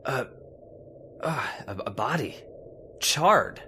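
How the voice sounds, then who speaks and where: A man's voice: mid-range voice, lively intonation, slow pace, pushed and bright, slight background noise. A man acting an anxious, sceptical husband in an old-time radio horror play, earnest and insistent, stammering when shaken.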